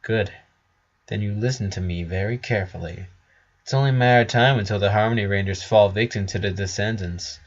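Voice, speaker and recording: A man's voice: on the low side, fairly quick, highs cut off, natural voice. A man voicing a determined female unicorn in a story reading, harsh and lovesick. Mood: cold, intense.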